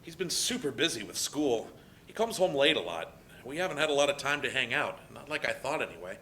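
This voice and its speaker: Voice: mid-range voice, sing-song, brisk, bright, forceful tone, a little hiss or hum. A performer playing a friendly, slightly awkward young man in a dramatic play reading. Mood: chatty, wistful. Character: William, friendly, awkward young male former coworker.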